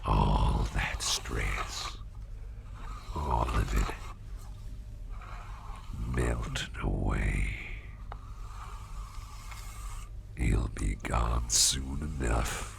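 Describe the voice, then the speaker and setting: A man playing a gentle, doting businessman boss in an ASMR roleplay, murmuring soothingly in a gravelly, breathy half-whisper. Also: extremely low pitch, extremely slow, pauses often, noisy background, exaggerated voice. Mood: soothing, tender. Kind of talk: ASMR roleplay, personal attention.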